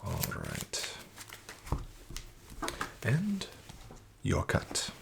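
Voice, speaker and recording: A man in a soft-spoken ASMR roleplay as a casino dealer, half-whispering smooth, flattering patter. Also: medium pitch, very slow, noisy background.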